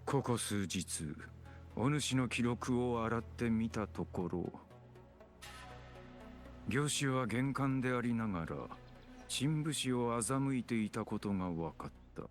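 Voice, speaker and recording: An actor playing a stern, measured official who speaks in a formal, archaic, grave manner in an anime game. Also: male voice, medium pitch, animated, soft background music, close to an everyday voice. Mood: measured, judging. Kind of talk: anime game voice acting in Japanese.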